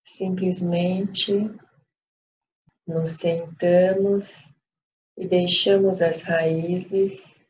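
A Brazilian woman leading a guided meditation as a teacher, speaking calmly in short phrases with long pauses. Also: fairly low pitch. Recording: dull lo-fi sound with light background noise.

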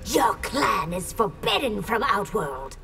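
A woman voicing a fierce, stern young empress in a video game, imperious and deliberate. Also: medium pitch, sing-song, gravelly, raised voice, loud music behind the voice, heavily acted. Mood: stern, regal. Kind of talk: video game character dialogue.

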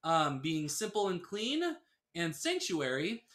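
A man's voice: fairly high pitch, big pitch swings, slow pace, fairly bright tone. A man co-hosting a podcast, bantering casually in a joking, self-deprecating way. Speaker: podcast co-host. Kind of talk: casual banter about music. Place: small indoor room with couch. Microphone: podcast microphone.

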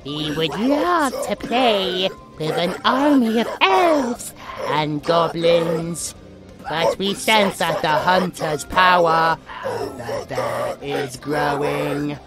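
A sadistic, bloodthirsty demon monster, drawls very slowly and gloats over its prey. The voice swoops playfully, with loud music under it, in a horror audio play. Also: female voice, fairly low pitch, expressive. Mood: gloating menace. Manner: slow, gloating, speaks of itself as we. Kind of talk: horror audio drama acting.

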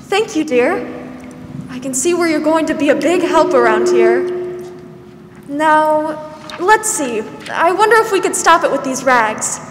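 High voice, young woman as warm fussing sixty-year-old lady, radio play, echoey hall. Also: animated, measured pace. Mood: warm, practical. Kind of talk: 1940s radio drama acting on stage. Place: large theatre stage, indoors. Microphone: stand microphone, masked. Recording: echoey stage hall, faint noise.

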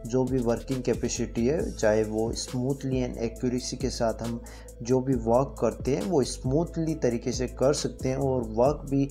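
A man's voice: mid-range voice, steady tone, measured pace, dark tone, soft background music. A man giving a rambling voice-over review of woodworking tools, repeating himself often. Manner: rambling, repetitive filler-heavy explanations. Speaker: product reviewer.

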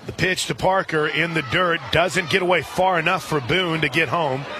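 A man working as a radio play-by-play announcer, calling a live softball game. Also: a little high, steady tone, normal speed. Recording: outdoor stadium crowd noise behind. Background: ballpark crowd and outdoor ambience.

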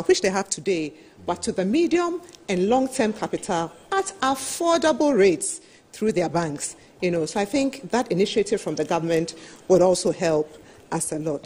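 A middle-aged woman with a slightly husky voice, giving an emphatic, explanatory business presentation as a panel speaker, often saying "you know". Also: mid-range voice, darkish tone, a little hiss or hum. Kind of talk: business presentation at a televised roundtable. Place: television studio, seated at a desk.

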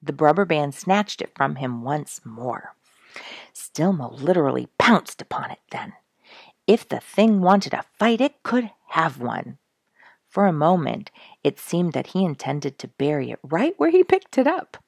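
A middle-aged woman reading a storybook aloud with expressive, deliberate, emphatic phrasing. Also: sits low, creaky, slightly rough, no room sound, studio quality. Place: small quiet room indoors, seated in an armchair.

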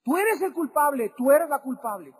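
A man preaching an impassioned sermon that rises to shouts. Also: extremely high pitch, very animated, moderate pace.

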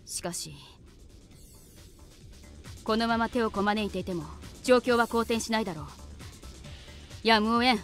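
A woman's voice: on the high side, moderate pace, long pauses, fairly bright tone, faint music behind, lightly acted. An actress playing a serious anime girl leader who talks in stiff, old-fashioned commanding speech. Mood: stern. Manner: formal, authoritative.

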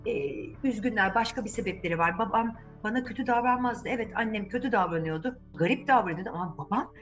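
A woman voicing a gentle, worried young princess with a puzzled, tentative manner in a children's story. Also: fairly high pitch, fast talker, recorded from a distance, lo-fi sound, faint music behind, pitched above the performer's own voice, lightly acted. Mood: hurt, puzzled.

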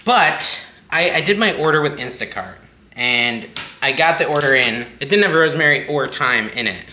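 A man's voice: mid-range voice, lively intonation, normal speed, sharp tone. A man in his thirties hosting a casual home cooking vlog, chatty and self-deprecating, with his voice a bit worn from losing it. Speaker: cooking channel host. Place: home kitchen, indoors. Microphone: backup camera mic at a distance. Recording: echoey kitchen, noisy backup mic.

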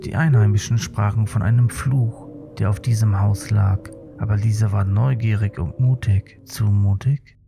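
A man narrating a scripted horror story in a suspenseful, measured storytelling manner. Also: fairly low pitch, steady tone, vocal fry, over music.

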